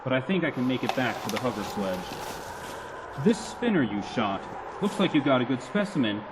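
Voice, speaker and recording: A man's voice: mid-range voice, sing-song, a little fast, close to an everyday voice. Man acting weary, wry android, noisy backdrop. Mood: dry, subdued. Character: weary, wry male android adventurer, damaged. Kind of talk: 1990s science fiction radio drama acting. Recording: loud ambient sound effects behind.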